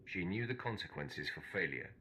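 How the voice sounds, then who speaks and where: Cold sith lord, commanding and ruthless, very hard edged voice, lively pitch, video game. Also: male voice, normal speed, slight background noise, full character voice, poor audio.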